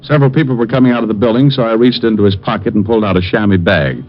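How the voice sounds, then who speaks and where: An actor playing a cool, wisecracking private detective, dry and hardboiled, in old radio drama style. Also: male voice, lowish voice, very fast, lightly acted. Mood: wry narrating. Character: wisecracking, cool male private detective narrating his case. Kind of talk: 1940s-50s radio drama narration and acting. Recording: dull vintage radio recording, faint music.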